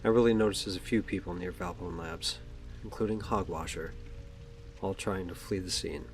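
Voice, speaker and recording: Casting: male narrator for a scripted superhero audio drama, deep voice, faint music underneath. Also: normal speed.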